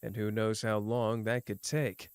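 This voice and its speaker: A man's voice: on the low side, flat delivery, measured pace, clean tone, no echo, clean studio sound. A man voicing a teasing, world-weary young man. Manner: soft, teasing, drifting between playful and bleak. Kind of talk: ASMR roleplay.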